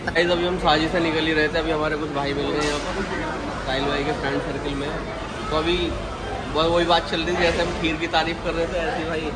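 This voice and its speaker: A man's voice: fairly high pitch, level tone, fairly quick, over a noisy crowd, low-quality recording. A man in his thirties from India, chatting to viewers in a casual travel vlog, filmed on a phone at arm's length in a car, cafe and mall. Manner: chatty, addresses viewers directly. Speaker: vlogger.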